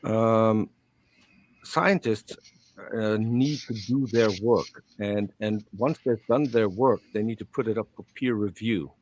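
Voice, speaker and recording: A man with a Dutch accent, a native Dutch speaker, explaining a point in a debate with careful, hesitant pauses. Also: lowish voice, darkish tone. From Netherlands. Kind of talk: played-back debate clip.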